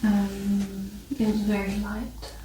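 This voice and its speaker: A woman giving brief, soft, subdued replies as the patient in an ASMR roleplay. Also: medium pitch, monotone, slow pace. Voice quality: soft, quiet. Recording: roomy, distant, faint background noise.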